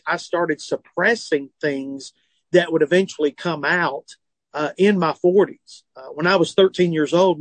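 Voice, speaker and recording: A man's voice: a little high, no echo. A man in his forties with a Southern US accent, a comedian and author telling his story in an interview from a tour bus. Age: 40s. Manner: animated, earnest, self-deprecating humor. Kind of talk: phone or remote interview, candid storytelling.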